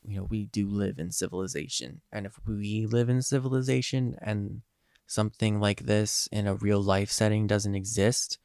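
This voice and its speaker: A man's voice: lowish voice, creaky, dry recording, studio quality. A nineteen-year-old man with a head cold and a slightly nasal voice, hosting a casual solo podcast in an earnest, rambling way with lots of ums. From Eastern Pennsylvania. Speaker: podcast host.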